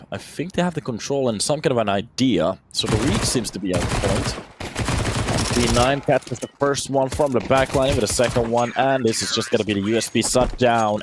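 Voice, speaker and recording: A man in his thirties, raised in Finland, giving lively solo play-by-play on a shooter match and chatting with viewers between rounds. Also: mid-range voice, slight background noise. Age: 30s. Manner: energetic, chatty, answers viewer questions between plays. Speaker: esports caster. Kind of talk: solo play-by-play commentary with chat replies.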